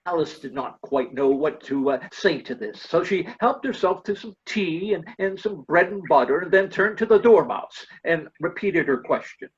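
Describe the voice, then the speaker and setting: A woman's voice: on the low side, expressive, normal speed, few pauses. A woman reading scripted narration for a readers' theater storybook piece, over dull lo-fi audio.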